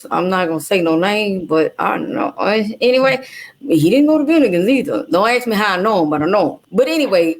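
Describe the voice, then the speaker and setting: Lively Louisiana Creole woman storyteller. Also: medium pitch, a little fast. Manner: animated, salty storytelling with slang and swearing. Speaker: interview guest. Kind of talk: casual storytelling interview.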